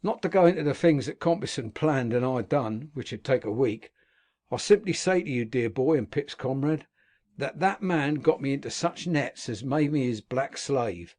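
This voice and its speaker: A man playing a gruff old convict. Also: medium pitch, expressive, moderate pace, darkish tone, lightly acted. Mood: grim recounting. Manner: earthy dialect speech, gruff storytelling. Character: rough old male convict telling his past. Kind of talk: audiobook character reading.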